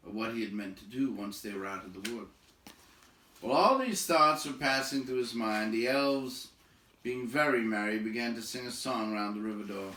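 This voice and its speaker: Echoey room, male storybook narrator, medium pitch, expressive phrasing, measured pauses. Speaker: audiobook-style reader. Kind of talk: storybook narration read aloud.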